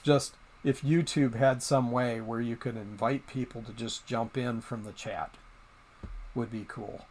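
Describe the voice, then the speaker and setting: A middle-aged man of middling pitch talks casually to a small live-stream audience. He wanders from thought to thought, hesitating often and restarting his sentences. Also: fairly even intonation, unhurried, darkish tone, a little hiss or hum. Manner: rambling, hesitant, many ums and restarts.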